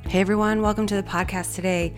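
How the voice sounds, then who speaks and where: A woman in her thirties hosting a solo podcast, her voice raspy and hoarse, talking in a reflective, encouraging way straight to her listeners. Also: mid-range voice, steady tone, quick pace, soft background music. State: hoarse from allergies or illness. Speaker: podcast host.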